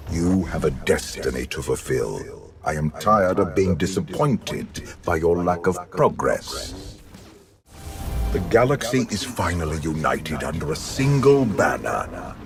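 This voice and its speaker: Evil immortal emperor from a video game, super low voice, pompous n condescending, swings up and down a lot, music behind. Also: male voice, gravelly, full character voice. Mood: menacing, then disappointed, scornful. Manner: slow, deliberate, pompous, condescending. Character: ancient, menacing immortal emperor, imperious and contemptuous father. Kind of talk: theatrical video game villain acting.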